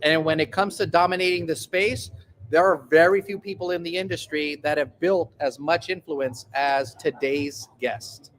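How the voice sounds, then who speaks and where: A man hosts a live online masterclass with an upbeat, announcer-like delivery. His voice sits a little high for a man. It sounds like a computer mic on a video call. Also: poor audio. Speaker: host. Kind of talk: live webinar introduction.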